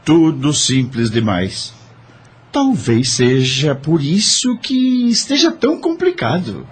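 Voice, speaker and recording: A man playing a grave, puzzled judge in an audio drama, speaking in a deliberate, reflective way. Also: fairly high pitch, sing-song, breathy edge, full character voice. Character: grave, puzzled judge among murdered spirits.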